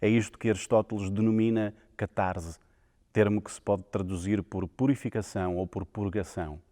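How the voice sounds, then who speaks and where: A man's voice: fairly low pitch, fairly even intonation, moderate pace, mellow. A man with a European Portuguese accent reading a scripted educational narration. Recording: long hall reverb.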